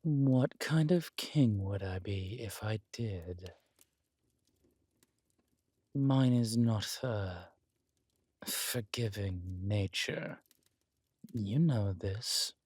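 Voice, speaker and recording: Guy acting as a villain king husband for roleplay audio, low voice, really slow, teasing n menacing. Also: a little mellow, breathy edge, no echo, heavily acted. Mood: coolly menacing. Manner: languid, teasing, menacing, drawn-out delivery. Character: scheming villain king, analytical, flirtatious, vengeful husband. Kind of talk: intimate roleplay audio drama.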